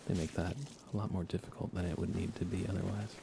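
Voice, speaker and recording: Male streamer for ASMR gameplay: very low, fry-heavy, hushed and low-key, over heavy background noise. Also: flat delivery, fast talker. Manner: low-key, murmuring, often dropping to a whisper. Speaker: livestream host. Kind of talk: soft-spoken ASMR gameplay commentary.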